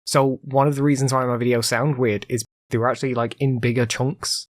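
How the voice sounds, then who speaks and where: A man's voice: mid-range voice, little pitch movement, a little fast, no echo. Rambling man talking solo, dry close mic. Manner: rambling, conversational, with frequent fillers. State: recovering from illness, voice occasionally cutting out. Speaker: podcast host. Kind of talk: casual solo monologue.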